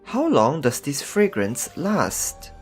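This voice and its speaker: A man's voice: on the high side, wildly swooping pitch, takes it slowly, heavily acted. A man playing a reverent, inquiring disciple in a scripture audio drama, speaking formally. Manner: formal, respectful questioning. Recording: background music under the voice.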